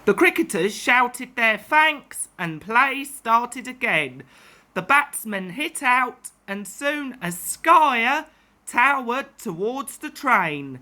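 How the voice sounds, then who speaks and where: Woman, children's storyteller, highish voice, very bright, measured pace. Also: sing-song. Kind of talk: expressive children's story narration, read aloud.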